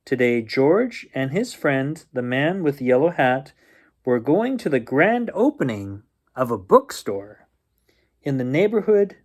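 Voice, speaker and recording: A man reading a children's picture book aloud as a bedtime storyteller, expressively and with drawn-out suspense. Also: medium pitch, big pitch swings.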